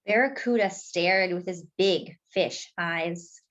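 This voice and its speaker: A woman reading a picture book aloud to young children as a storytime host, expressive and speaking directly to her listeners. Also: lowish voice, slow, muffled recording.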